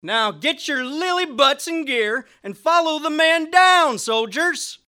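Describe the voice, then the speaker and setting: A woman's voice: high-pitched, lively intonation, slow pace, sharp tone, exaggerated voice. A woman voicing a tough drill sergeant who barks orders in old-time slang. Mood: gruff.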